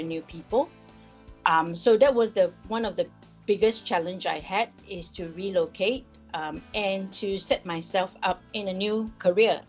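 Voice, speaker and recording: Woman, Singaporean accent, friendly, conversational, slightly dull recording, faint background music. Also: fairly low pitch. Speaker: risk advisory partner. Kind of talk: casual workplace interview.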